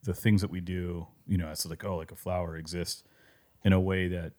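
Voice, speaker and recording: A man co-hosting a podcast, thinking out loud in circling phrases with sudden bursts of emphasis. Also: low-pitched, flat delivery, a little fast. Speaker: podcast co-host. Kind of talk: casual podcast chat.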